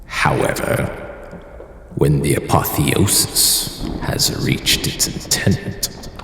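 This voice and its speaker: An actor playing a grave, macabre horror-show host, delivering ornate, ominous narration in a gravelly voice with heavy reverberation. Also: male voice, a bass voice, slow, exaggerated voice. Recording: echoey reverberant hall, some noise.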